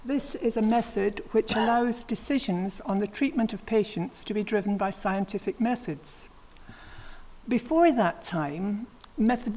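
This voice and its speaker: A woman in her sixties, a retired health official, explaining her work on a panel in a measured, plain way. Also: medium pitch, darkish tone, rough recording.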